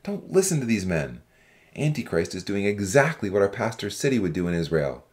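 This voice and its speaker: A man's voice: lowish voice, very animated, a little fast. A man voicing a fervent, suspicious young religious heckler in a dramatized audiobook, accusing and declamatory. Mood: accusing, alarmed.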